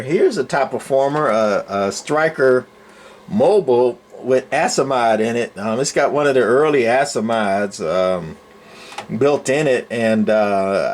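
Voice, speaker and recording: Man, middle-aged, medium pitch, folksy, unscripted show-and-tell, rambling, thinking aloud. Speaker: radio collector showing a collection.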